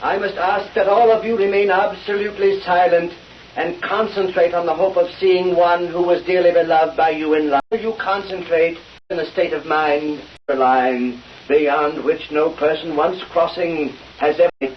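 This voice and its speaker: An actor playing a fake spirit medium in an old radio drama, intoning in a theatrical, portentous manner. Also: male voice, fairly high pitch, steady tone, moderate pace, close to an everyday voice. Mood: solemn. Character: sham medium conducting a seance, solemn and theatrical. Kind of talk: 1930s-40s radio acting. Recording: hissy, muffled old radio recording.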